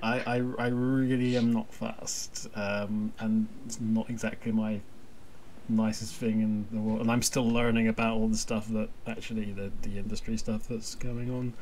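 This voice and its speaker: A man's voice: fairly low pitch, flat delivery, moderate pace, darkish tone, a little hiss or hum, low-quality recording. A man hosting a podcast in casual chat, with a wry, self-deprecating, grumbling manner. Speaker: podcast host.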